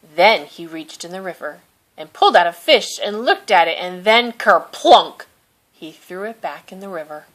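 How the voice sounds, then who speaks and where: A woman reading a children's picture book aloud in a gentle, expressive storytelling manner. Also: fairly low pitch, normal speed, a little hiss or hum, rough recording. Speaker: storybook reader.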